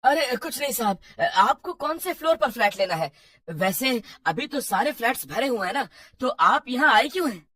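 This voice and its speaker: A woman voicing a sleepy, fearful male apartment guard, nervous and evasive, in an animated horror story. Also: fairly high pitch, moderate pace, slightly rough, heavily acted. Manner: nervous, startled, stammering when alarmed.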